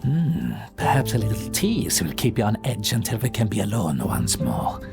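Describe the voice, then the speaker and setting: A silky vampire prince, voiced by a man, speaks in an audio drama with a lively, swooping delivery. He drops into low, purring tones. Music plays clearly behind him. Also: normal speed, full character voice. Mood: seductive. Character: seductive aristocratic male vampire prince. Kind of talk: ASMR audio drama acting.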